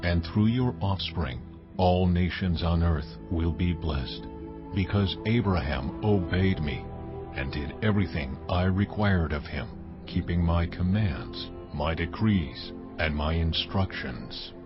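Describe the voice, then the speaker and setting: A man playing a solemn, commanding God in a dramatized scripture reading, speaking in a measured way. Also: low voice. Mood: solemn promise. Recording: dull recording under prominent music.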